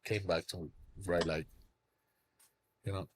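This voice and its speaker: A man's voice: low voice, steady tone, slow, slight background noise. A man in his thirties with a Cuban accent, a songwriter guest chatting casually, rambling with lots of "you know, like" fillers. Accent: Cuban Spanish. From Cuba. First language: Spanish. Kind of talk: casual interview chat.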